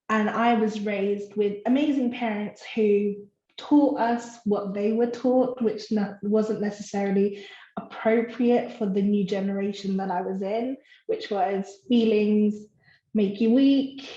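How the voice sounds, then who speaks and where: A woman's voice: mid-range voice, mellow. A woman in her twenties, raised in the Philippines and a native Tagalog speaker, speaks openly and reflectively as a panel guest, with frequent ums. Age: 20s. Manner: open, reflective, with many ums. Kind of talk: video panel discussion. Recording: roomy, dull-sounding audio.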